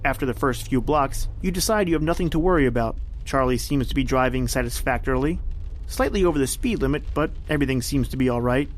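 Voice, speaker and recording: A man's voice: mid-range voice, lively intonation, quick pace, a little hiss or hum, natural voice, low-quality recording. A man playing a knowing, taunting narrator in a scripted suspense radio drama. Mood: ominous, insinuating. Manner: insinuating, knowing. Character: ominous, taunting male narrator addressing the hero.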